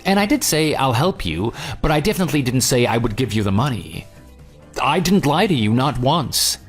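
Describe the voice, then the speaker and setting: A man's voice: mid-range voice, big pitch swings, moderate pace, slightly rough. A man playing a self-assured, unyielding jilted groom who leads and narrates an audio drama in a dry, sarcastic manner. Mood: dry, dismissive. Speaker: narrator and lead character. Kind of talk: audio drama dialogue and first-person narration. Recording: music bed under the voice. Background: background music.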